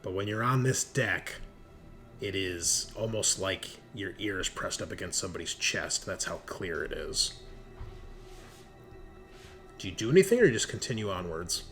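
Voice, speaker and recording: A man's voice: sits low, very animated, moderate pace, pauses often, a bit bright, faint music behind. A man running a horror tabletop roleplaying game as game master, narrating descriptively and suspensefully.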